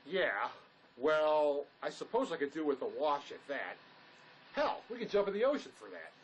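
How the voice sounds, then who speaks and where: A male actor plays a grumbling, skeptical fugitive in an audio drama. His sardonic delivery swings widely in pitch. A faint layer of noise runs underneath. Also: big pitch swings, normal speed, low-quality recording. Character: sarcastic, grumbling, skeptical fugitive man on the run.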